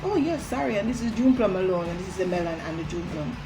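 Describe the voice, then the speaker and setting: A woman's voice: medium pitch, expressive, quick pace, poor audio. A middle-aged woman with a Jamaican accent, chatting casually to viewers as a co-host. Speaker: co-host, the host's mother. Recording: music over the talk. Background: music.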